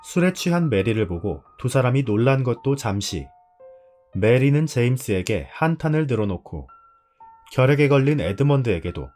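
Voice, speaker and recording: A man's voice: medium pitch, darkish tone. A man narrating a drama's story in a scripted storytelling style. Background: background music.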